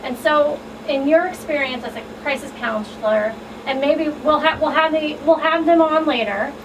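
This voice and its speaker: High-voiced woman, distant and noisy. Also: normal speed. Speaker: contributor asking questions. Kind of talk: conversational questioning. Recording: off-mic, clear background room noise.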